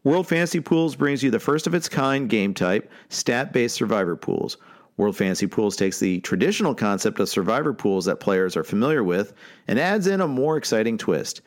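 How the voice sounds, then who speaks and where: A man's voice: mid-range voice, lively intonation, normal speed. A man with an American accent, speaking as the host of a sports show. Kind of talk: casual interview hosting.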